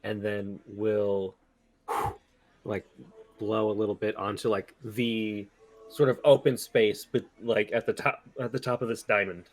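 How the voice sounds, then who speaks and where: A man's voice: lowish voice, flat delivery, measured pace. A man describing his character's actions in an improvised tabletop roleplaying game, hesitant and searching for words.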